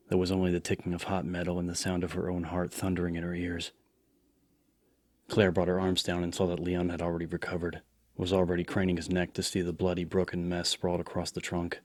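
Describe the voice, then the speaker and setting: A man's voice: deep voice, darkish tone, dry recording. A man reading scripted horror fiction as a dramatic audiobook narrator. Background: background music.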